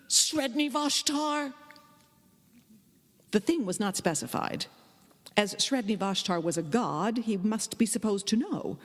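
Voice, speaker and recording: A woman's voice: mid-range voice, slightly rough. Woman storyteller in a reverberant hall. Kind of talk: dramatic live literary reading. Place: indoor hall, live performance. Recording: live performance in a reverberant hall.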